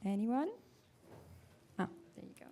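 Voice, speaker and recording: A woman moderator running the question session at a conference talk, brisk and upbeat. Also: on the high side, lively intonation, dark tone.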